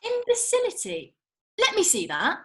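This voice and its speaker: A woman's voice: extremely high pitch, wildly swooping pitch, moderate pace, bright, forceful tone, exaggerated voice. A woman acting a feisty, blunt young working-class woman in a comedy script read, colloquial and sweary. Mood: indignant.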